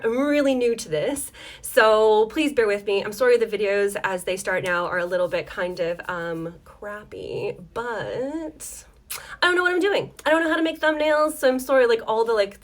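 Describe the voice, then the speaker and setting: A woman's voice: a little high, a bit bright. A woman hosting a casual livestream tutorial from her home desk, chatting in a rambling, apologetic way with many ums while losing her voice. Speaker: dice maker hosting a live tutorial.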